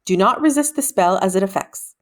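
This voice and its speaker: Woman acting a gruff, commanding old wizard. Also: mid-range voice, expressive, a little fast, natural voice. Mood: curt, commanding. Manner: curt, commanding. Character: gruff, impatient old male wizard mentor. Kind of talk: children's book read-aloud character voice.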